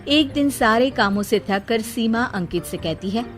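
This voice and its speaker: A woman's voice: a little high, lively intonation, normal speed, faint music behind. A woman storyteller reading scripted narration of a moral story.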